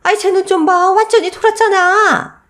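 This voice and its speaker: A woman's voice: very high-pitched, steady tone, moderate pace, a bit bright, pitched above the performer's own voice. A woman voicing a cold, spiteful old mother-in-law in a radio story, scolding and sputtering. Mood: outraged, scornful.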